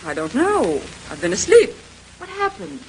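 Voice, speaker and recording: A dismissive, skeptical society wife in a vintage radio mystery. She speaks curtly in a highish, very mobile voice. The old transcription adds faint noise. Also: huge pitch swings, moderate pace, darkish tone, full character voice, rough recording. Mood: concerned. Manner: curt, haughty. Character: haughty, skeptical married woman, curt and dismissive. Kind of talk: 1930s radio mystery acting.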